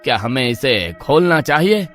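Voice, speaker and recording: A man's voice: mid-range voice, sing-song, moderate pace, fairly bright tone, soft background music. A man playing an eager, trusting young husband from a village in a dramatised horror story, earnest and exclaiming. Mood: puzzled, worried.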